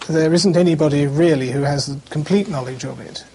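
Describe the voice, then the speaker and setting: A man with a fairly high-set voice talks through past technical work as if in an interview. His phrasing is measured and a little hesitant. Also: dark tone, breathy edge. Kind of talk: interview recollection.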